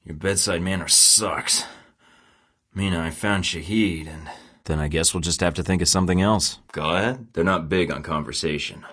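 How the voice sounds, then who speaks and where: Deep-voiced sarcastic spy guy for a game, dry clipped field chatter, clean booth sound. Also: moderate pace, a bit bright. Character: wry male secret agent, cool under pressure. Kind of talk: video game voice lines.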